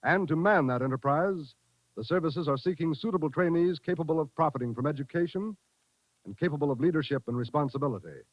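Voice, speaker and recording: A man's voice: fairly high pitch, quick pace, dry recording. An American man announcing in a formal, polished, emphatic 1940s radio style. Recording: dull old radio broadcast recording.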